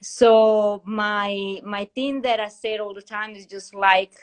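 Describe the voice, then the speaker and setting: A woman's voice: mid-range voice, fairly even intonation, slow pace. Woman, Italian accent, chatty storyteller, live video call, restarts mid-sentence. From Italy (Tuscany). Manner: chatty storytelling with restarts and non-native grammar. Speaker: guest hairstylist. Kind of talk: casual live-stream interview. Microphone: phone in a live video call. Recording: compressed live-stream audio.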